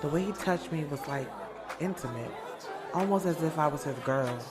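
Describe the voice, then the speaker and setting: A woman's voice: deep voice, normal speed, over a noisy crowd, natural voice. A woman playing a sassy, candid young woman in an audio drama, telling her story in a casual, slangy way, swearing freely. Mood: reflective. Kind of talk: audio drama first-person narration and dialogue. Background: music.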